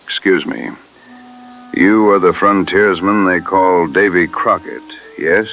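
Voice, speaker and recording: Very deep, gravelly solemn tracker. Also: male voice, fairly even intonation, dull audio, a little hiss or hum. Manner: formal, measured speech without contractions. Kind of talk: radio drama acting.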